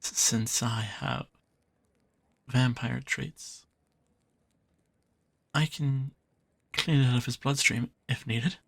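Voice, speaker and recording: A man voicing a shy, bumbling male jacklomire creature with vampire traits, who speaks in a halting, hesitant way. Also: medium pitch, fairly even intonation, gravelly, a bit bright, no echo, full character voice. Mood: nervous, hesitant. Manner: halting, hesitant, many fillers. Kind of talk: audio roleplay drama.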